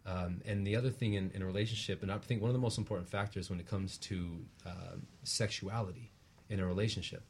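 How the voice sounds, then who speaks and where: A man's voice: low-pitched, fairly even intonation, fast, a little hiss or hum. A man speaking as a guest teacher, earnestly explaining spiritual ideas in a conversational way and often checking in with a short tag question. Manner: earnest, explanatory, often tags phrases with "right?". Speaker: guest, relationship practitioner and teacher.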